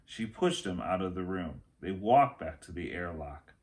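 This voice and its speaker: A middle-aged man reading a children's chapter book aloud as a teacher would, with expressive narration. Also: deep voice. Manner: slow, expressive narration with acted dialogue. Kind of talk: children's story read-aloud. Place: small room at home, indoors.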